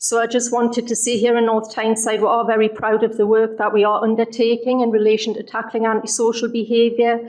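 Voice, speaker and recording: Woman councillor, level monotone, slightly strained voice; council chamber address. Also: fairly high pitch. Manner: measured, steady delivery with frequent ums. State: losing her voice. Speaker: councillor moving an amendment. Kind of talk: council meeting speech.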